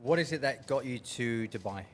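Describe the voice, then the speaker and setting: A man hosts a podcast conversation, speaking at a middle pitch for a man. He mostly asks short follow-up questions and responds with quick acknowledgements. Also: animated. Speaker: podcast host. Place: cafe.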